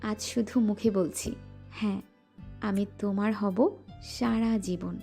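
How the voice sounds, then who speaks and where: A woman's voice: medium pitch, fairly even intonation, measured pace, vocal fry, dark tone, faint music behind. A woman voicing a playful young woman in love, teasing and coy, in a romantic audio drama. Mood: tender. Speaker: voice actor.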